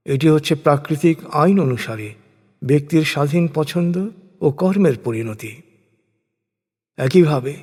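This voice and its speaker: Male narrator for solemn scripture reading: husky tone, deliberate phrasing, long reverberant tail on the voice. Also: fairly high pitch, a little mellow. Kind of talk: solemn scripted religious recitation of translated scripture and commentary.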